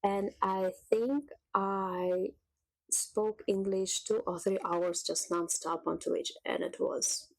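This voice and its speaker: A woman talks through her story in an unhurried, chatty way, slipping in soft little laughs. Her pace is slow and easy. The voice sounds dry and close, with almost no room around it. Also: mid-range voice, no echo, rough recording. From Russia. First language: Russian. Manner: unhurried, chatty, laughs softly between thoughts. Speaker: cooking channel creator. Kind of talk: casual personal vlog monologue.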